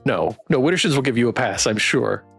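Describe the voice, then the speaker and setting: A man streaming a live game playthrough, reading the story aloud in an expressive, theatrical way and chatting with viewers. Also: mid-range voice, a little fast, gravelly, slightly rough, soft background music. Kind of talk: live playthrough narration with character read-alouds.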